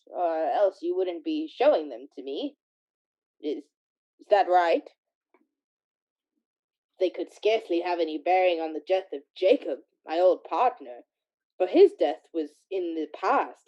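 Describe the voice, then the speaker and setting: An actress playing an earnest, uneasy miser of business in a radio play, with a formal, proper manner. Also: female voice, on the low side, very animated, unhurried, mellow. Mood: puzzled, uneasy. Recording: muffled, dull audio.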